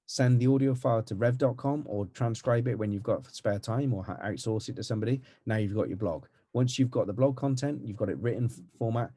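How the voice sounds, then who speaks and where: A middle-aged man with a West Country accent giving a fast, energetic, chatty marketing tutorial over a video call. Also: mid-range voice, slightly rough, dry recording, studio quality. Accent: West Country English. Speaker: marketing agency owner. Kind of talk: casual tutorial talk to camera. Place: home with green screen background.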